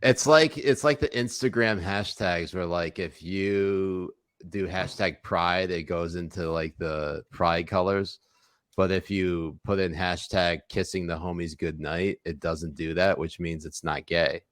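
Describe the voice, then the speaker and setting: A deep-voiced man co-hosts a livestreamed podcast, teasing and joking crudely with his co-host. His sound is close and dry, with no room around it. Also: fairly even intonation, unhurried, a bit bright, dry recording. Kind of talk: crude comedic banter.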